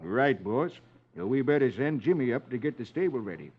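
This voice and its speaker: An actor playing a plain, friendly cowboy horse hand with an American Western accent in a 1950s radio drama. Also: male voice, medium pitch, expressive, brisk, natural voice. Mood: easygoing. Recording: muffled, noisy old radio recording.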